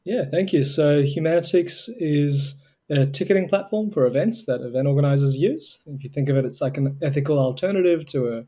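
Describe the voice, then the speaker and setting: An earnest young Australian man talks with conviction. His voice sits a little high but sounds warm and relaxed. He explains things fluently. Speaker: guest, co-founder of a tech charity. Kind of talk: remote interview answers.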